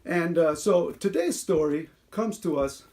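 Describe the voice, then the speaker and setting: A man voicing a chatty unicorn host of a children's-style story time, reading aloud earnestly with halting asides. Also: on the high side, animated, a little mellow. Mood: chatty, playful. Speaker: show host.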